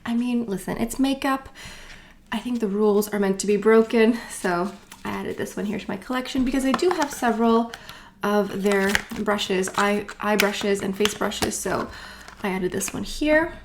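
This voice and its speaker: A woman's voice: medium pitch, normal speed, noisy background. A woman chatting casually as she unboxes and reviews beauty products, rambling with asides and fillers. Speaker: beauty vlogger.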